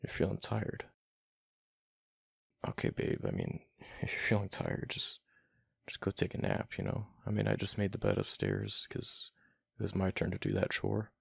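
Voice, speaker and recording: Deep, gravelly young gamer boyfriend, played by a man. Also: big pitch swings, no room sound, full character voice. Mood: soft, reluctant, affectionate. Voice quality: deep, gravelly, breathy murmur at times. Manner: halting, sighing, slangy. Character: young gamer boyfriend, reluctant but affectionate. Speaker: voice actor in boyfriend roleplay audio. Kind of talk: ASMR boyfriend roleplay, casual chat.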